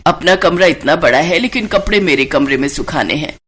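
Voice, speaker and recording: Elderly Indian mother-in-law, played by a woman: lowered pitch, nagging yet coaxing, stubborn, family moral-story drama. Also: expressive, brisk, slightly rough. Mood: complaining. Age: older. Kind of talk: acted moral-story dialogue.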